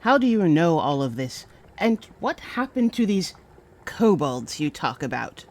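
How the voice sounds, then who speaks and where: A commanding, suspicious noblewoman, played by an actress, talks very slowly and sternly. Her pitch moves dramatically, in fantasy audio drama style. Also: big pitch swings, full character voice. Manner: stern, deliberate.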